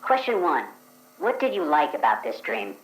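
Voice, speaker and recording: A man's voice: on the high side, wildly swooping pitch, moderate pace, fairly bright tone, echoey room, slight background noise, pitched above the performer's own voice, low-quality recording. A man putting on the voice of an automated questionnaire that prompts in a mechanical way.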